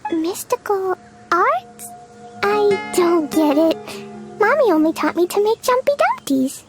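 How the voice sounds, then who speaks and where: A woman's voice: very high-pitched, big pitch swings, measured pace, heavily acted. A woman voicing a cheerful, innocent and rash little girl in a video game, with childlike, simple phrasing. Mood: innocent, puzzled. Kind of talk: scripted video game voice acting. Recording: studio voice over game music.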